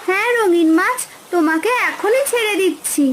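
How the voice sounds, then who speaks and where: A woman's voice: very high voice, animated, moderate pace, background noise. A woman voicing a kind, eager young village girl in a children's cartoon, with a childlike manner. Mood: kind, reassuring.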